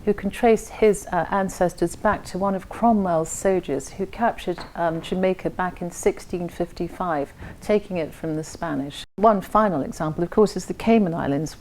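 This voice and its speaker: A woman's voice: lowish voice, a little mellow. A woman speaking in a documentary interview, conversational and explanatory, with some fillers.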